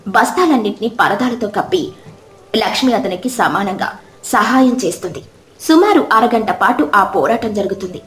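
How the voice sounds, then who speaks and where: A woman's voice: medium pitch, a bit bright, reverberant. A woman reading scripted, dramatic moral-story narration.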